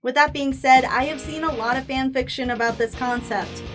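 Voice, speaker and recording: A woman's voice: sits high, steady tone, normal speed, over music. A woman hosting a scripted video essay, with an informal, opinionated and playfully emphatic manner.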